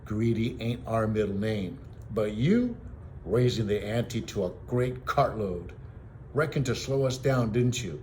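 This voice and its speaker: Guy doing a big mean storybook bandit, growly threats in rough country slang, bit of room noise. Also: lowish voice, animated, unhurried, mellow. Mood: angry, threatening. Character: big hairy gruff bullying male outlaw, greedy and angry. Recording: noisy home recording.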